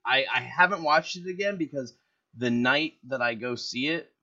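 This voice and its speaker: Excitable rambling man, bright voice. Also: medium pitch, moderate pace, dull audio. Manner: rambling, excitable, frequent fillers. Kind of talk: casual movie chat.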